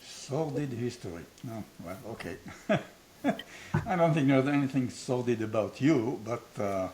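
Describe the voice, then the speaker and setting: A man's voice: mid-range voice, expressive, a little slow, darkish tone, slight background noise. An older man from Israel with an Israeli accent, hosting an unscripted conversation in a blunt, provocative way with self-deprecating humor. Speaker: host, founder of a training academy.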